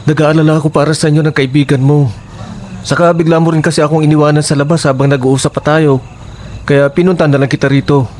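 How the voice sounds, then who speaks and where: Man acting eager, higher-voiced young suitor. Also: little pitch movement, quick pace, darkish tone, slight background noise, lightly acted. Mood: concerned, friendly. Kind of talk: Tagalog horror audio drama acting.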